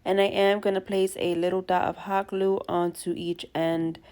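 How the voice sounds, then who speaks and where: A young woman with a stuffy nose from allergies, chatting warmly through a DIY craft tutorial and gushing to her viewers. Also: on the low side, little pitch movement, moderate pace. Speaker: craft vlogger.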